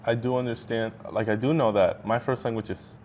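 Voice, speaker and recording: A teenage boy, a high school student being interviewed, who talks hesitantly with lots of "um" and "like.". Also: fairly even intonation, brisk, darkish tone, a little hiss or hum. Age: teens. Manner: hesitant, with many "um" and "like".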